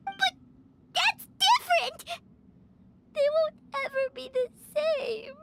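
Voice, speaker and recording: An actress playing a tiny, excitable floating fairy companion, talking loudly and urgently. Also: female voice, extremely high pitch, slow pace, vocal fry, exaggerated voice. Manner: loud, urgent, pleading.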